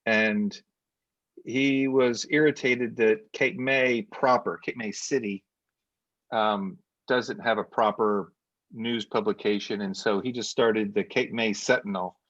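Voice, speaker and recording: A man's voice: on the low side, steady tone, slow pace, fairly bright tone, dry recording, dull audio. A man with a Northeastern American accent, chatting casually as a podcast host and telling rambling stories. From United States. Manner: talkative, rambling storyteller. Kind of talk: casual chat. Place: home studio in South Jersey.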